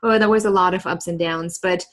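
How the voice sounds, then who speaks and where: A woman in her thirties from East Germany with a German accent, a podcast guest telling her story in a relaxed, earnest way and laughing easily. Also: on the low side, brisk. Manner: earnest and reflective, with frequent laughs and "like" fillers. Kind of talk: casual conversational storytelling.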